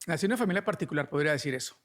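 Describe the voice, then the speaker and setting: A man's voice: a little high, a bit bright. A middle-aged man with a Colombian accent, explaining things conversationally as a guest and often adding little checking questions. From Cali, Colombia. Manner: frequent checking tags like "¿no?". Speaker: podcast guest, alternative medicine doctor.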